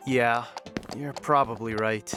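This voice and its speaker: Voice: medium pitch, fairly even intonation, takes it slowly, over music, natural voice. An actor playing an awkward, hesitant, good-natured young adventurer in an audio drama. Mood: bashful. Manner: awkward, unassuming.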